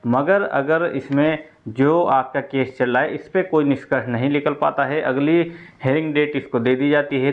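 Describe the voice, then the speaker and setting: A man's voice: medium pitch, phone-quality sound. A man giving an informal explainer to students as a presenter, going step by step and repeating key points. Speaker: exam news presenter explaining updates to candidates.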